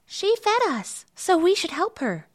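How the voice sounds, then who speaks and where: Small talking bird, woman performer: raised pitch, light, kindly, helpful storybook helper. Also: sing-song, a little slow, heavily acted.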